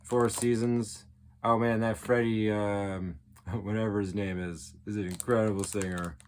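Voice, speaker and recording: A man's voice: lowish voice, little pitch movement, unhurried, darkish tone, slight background noise. A man giving a casual, off-the-cuff show-and-tell as a collector, rambling along with geeky enthusiasm. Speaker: record collector, video host.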